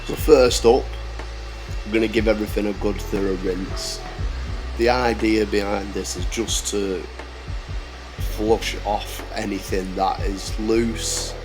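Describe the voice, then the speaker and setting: A man's voice: fairly low pitch, fairly even intonation, slow, rough recording. A man giving a plain, matter-of-fact voice-over for a car cleaning vlog. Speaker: car detailing channel host. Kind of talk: voice-over narration. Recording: voice-over with music underneath. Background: music.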